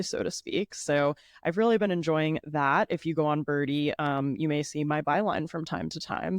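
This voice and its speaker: A woman's voice: low-pitched, dry recording, clean studio sound. A woman in her thirties hosting a casual solo podcast, chatty and upbeat, sounding a little congested. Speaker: podcast host.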